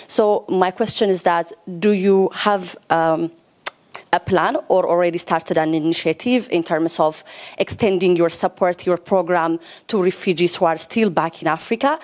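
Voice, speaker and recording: A woman's voice: fairly low pitch, normal speed, a little mellow. Earnest woman from Ethiopia asking a question. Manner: earnest, polite, building up to her question. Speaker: audience member asking a question. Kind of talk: conference audience question.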